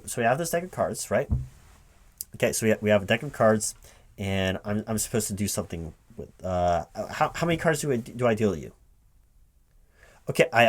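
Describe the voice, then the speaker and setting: A man who stammers and repeats words hesitantly, speaking aloud as a recruiting manager in a one-sided ASMR roleplay. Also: medium pitch. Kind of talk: one-sided interview roleplay, soft-spoken ASMR at normal voice.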